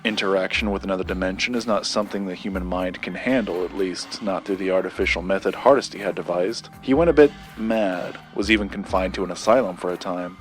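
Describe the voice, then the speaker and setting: A man acting as a secretive collector tells a dark local legend in an audio drama. The voice is low and male, deliberate and measured, with pauses for effect. Music plays underneath. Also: natural voice. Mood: grave, mysterious.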